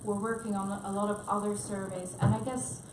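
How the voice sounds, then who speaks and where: A woman's voice: mid-range voice, flat delivery, fairly quick. A woman speaking as a researcher on a panel, thoughtful and hesitant, with frequent restarts. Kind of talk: panel discussion remarks. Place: conference room, panel event. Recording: echoey room with noise.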